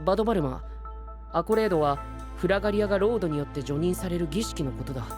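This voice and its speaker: A man playing a refined, earnest young gentleman knight with formal speech and English interjections in an anime voice drama. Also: high voice, expressive, quick pace, soft background music. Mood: disbelieving, explanatory.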